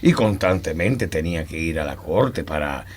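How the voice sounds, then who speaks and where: An actor in a radio drama playing a portly, self-important retired colonel who speaks formally and courteously. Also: male voice, extremely low pitch, expressive, moderate pace. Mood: stately, indulgent.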